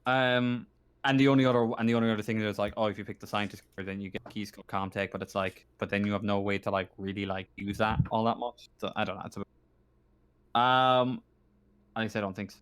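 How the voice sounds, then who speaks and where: A man running a tabletop game as game master, narrating casually in a halting, sweary way. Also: fairly low pitch, little pitch movement, a bit bright, clear, resonant tone.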